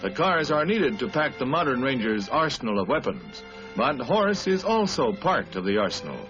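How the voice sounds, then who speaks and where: A man's voice: medium pitch, big pitch swings, normal speed, a bit bright. An American man reading scripted 1950s newsreel narration in an emphatic, grandiose manner. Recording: dull vintage 1950s newsreel soundtrack. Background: orchestral newsreel music.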